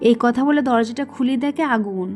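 A woman narrates a scripted cartoon story in a high voice. Music plays clearly behind her storytelling. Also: brisk, a little mellow.

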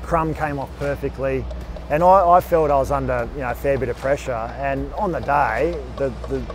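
A man's voice: mid-range voice, normal speed, faint music behind. Reflective Australian man, former player. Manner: thoughtful, relaxed. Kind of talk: reflective interview. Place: outdoors in an empty stadium stand.

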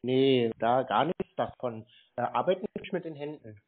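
Deadpan man on a thin phone line. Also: mid-range voice, moderate pace. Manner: deadpan, obtuse, drily contrary. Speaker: scam baiter playing along on a call. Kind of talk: unscripted phone conversation. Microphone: telephone.

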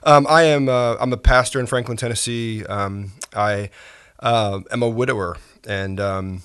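A pastor in his forties, a man telling his story softly in a reflective interview, pausing often with fillers. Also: medium pitch, fairly even intonation, clean tone. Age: 40s. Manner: soft-spoken, halting with frequent fillers. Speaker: pastor and podcast guest.

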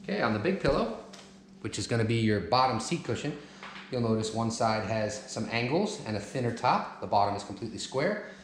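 A man's voice: mid-range voice, animated, fairly quick. Man giving DIY steps in echoey room. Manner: practical step-by-step, talks while kneeling and lifting. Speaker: DIY home channel host. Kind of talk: casual how-to instruction while working. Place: large carpeted family room at home. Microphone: camera mic from a distance. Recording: echoey room, some noise.

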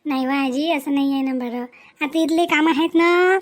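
A woman's voice: high-pitched, normal speed, lightly acted. A woman voicing a chatty, dutiful young married village woman in a cartoon family drama, with a Varhadi Marathi accent. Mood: cheerful, chatty. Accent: Maharashtrian, Varhadi Marathi.

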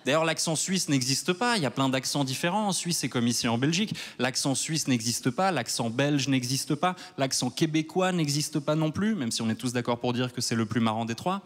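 A man in his thirties from Switzerland doing a stand-up set on a large theater stage with a handheld mic. He talks in a wry, self-mocking way and works up to loud mock outrage. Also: medium pitch, lively intonation, brisk, few pauses. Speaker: stand-up comedian. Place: large theater stage before a live audience.

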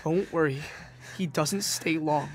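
An actor playing a carefree, wasted young party druggie in a radio drama, with a slurred drawl. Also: male voice, on the high side, a little slow. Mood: uneasy.

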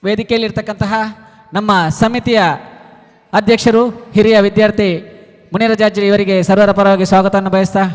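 A man giving formal welcomes as master of ceremonies at a stage event. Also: high-pitched. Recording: reverberant hall PA.

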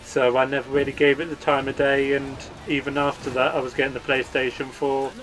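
A man's voice: mid-range voice, level tone, brisk, a bit bright, poor audio. A man hosting a gaming channel, giving an earnest, upbeat channel update read as a voice-over.

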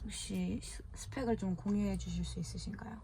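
A woman's voice: medium pitch, fairly even intonation, a little fast, gravelly, mellow. Woman interviewer over faint ambient noise. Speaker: interviewer reading viewer questions. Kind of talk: polite informal interview.

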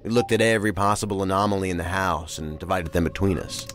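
An actor playing a methodical, matter-of-fact federal agent in an audio drama. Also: male voice, deep voice, level tone, fast, fairly bright tone, faint music behind.

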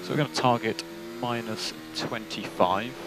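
A man working as a race engineer, giving calm, clipped technical instructions over team radio. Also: on the low side, moderate pace. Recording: noisy team radio, engine noise behind.

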